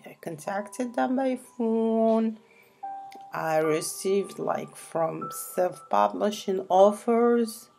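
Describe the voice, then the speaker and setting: A woman's voice: fairly low pitch, gravelly, darkish tone. A woman from Morocco whose first language is Arabic, speaking English in a rambling, unscripted tutorial full of restarts and fillers. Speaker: self-publishing tutorial host.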